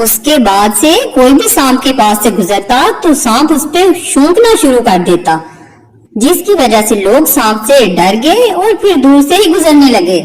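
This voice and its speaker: A woman's voice: high-pitched, a little mellow. A woman narrating a scripted moral story for a cartoon as the storyteller. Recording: long hall-like reverb.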